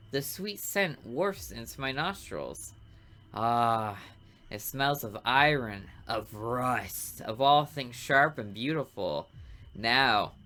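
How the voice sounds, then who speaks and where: A man voicing a smug, artistic serial killer who narrates a visual novel with deliberate, theatrical, morbid relish. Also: medium pitch, pushed and bright.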